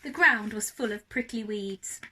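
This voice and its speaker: A woman reading a story aloud as a primary teacher would, expressively and deliberately for young children. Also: medium pitch, bright, forceful tone, husky.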